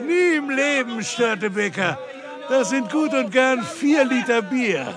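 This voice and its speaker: A man's voice: very high-pitched, animated, slow pace, noisy background, exaggerated voice. An actor playing a grudging, sceptical hangman and drinking companion in an audio drama. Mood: jeering, challenging. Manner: sceptical, grumbling, mocking. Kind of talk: German audio drama acting. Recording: busy tavern crowd behind.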